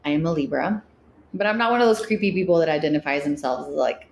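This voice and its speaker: Woman co-host in her thirties, from Mexico, lowish voice, deadpan and blunt, echoey room with faint hiss. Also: quick pace, gravelly. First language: Spanish. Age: 30s. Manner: dry, joking, blunt. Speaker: podcast co-host. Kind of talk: casual chat.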